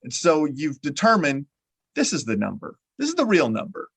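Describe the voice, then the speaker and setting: A man's voice: fairly high pitch, huge pitch swings, normal speed, gravelly, fairly bright tone, slightly rough, dry recording. A man hosting a podcast interview, talking in a loose, rambling way full of restarts and "uh". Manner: rambling and repeats his words, many "uh" restarts. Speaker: podcast host. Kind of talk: conversational interview.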